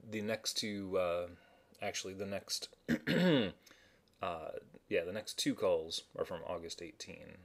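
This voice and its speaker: A man's voice: sits low, takes it slowly, gravelly. A man narrating informally as he introduces archived messages, in a hesitant, matter-of-fact way.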